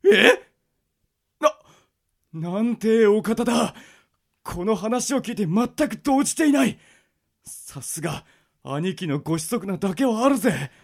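A man playing a hot-blooded, weepy and devoted gangster underling in an amateur radio drama, excitable and stammering. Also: sits high, unhurried, gravelly, long pauses, exaggerated voice. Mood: awed, earnest.

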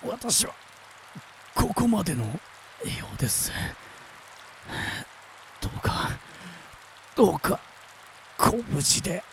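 A loyal retainer at death's door in a radio drama. His words come slowly, faint and gasping, polite and pleading. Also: high-pitched, very animated, creaky, slight background noise, full character voice. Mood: weak pleading. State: weak, gasping, fading. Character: devoted, dying male retainer of a princess.

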